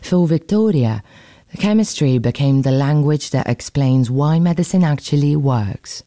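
Very deep, dark-voiced woman presenter. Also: animated, measured pace. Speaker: radio feature presenter. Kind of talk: scripted feature narration. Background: faint music.

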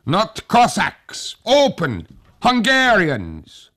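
A man's voice: fairly low pitch, sing-song, slow pace, no echo, studio quality, pitched above the performer's own voice, exaggerated voice. Man doing a clipped, stern cavalry officer. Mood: brusque. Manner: clipped, broken phrasing.

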